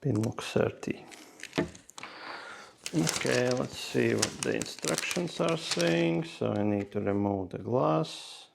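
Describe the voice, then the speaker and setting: A man's voice: medium pitch, lively intonation, takes it slowly, vocal fry, background noise. A man talking casually through a hands-on tutorial step by step, with non-native English phrasing. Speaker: host of a motorcycle gear how-to video.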